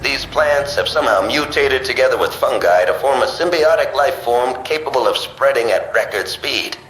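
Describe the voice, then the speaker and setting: An actor playing a chummy, curious tinkerer in a video game, with a folksy way of talking. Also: male voice, medium pitch, normal speed, fairly bright tone, echoey room, soft background music, lightly acted, rough recording. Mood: pleased, intrigued. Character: chummy, curious tinkerer man who experiments with strange samples. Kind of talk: scripted video game dialogue.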